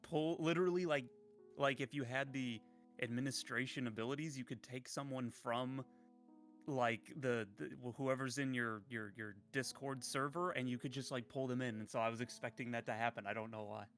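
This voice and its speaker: A man calling in as a stream guest, his voice bright and forward despite a groggy, froggy morning rasp. He jokes about himself in a dry, easygoing way. Faint music sits behind him. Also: mid-range voice, steady tone, moderate pace. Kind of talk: casual chat.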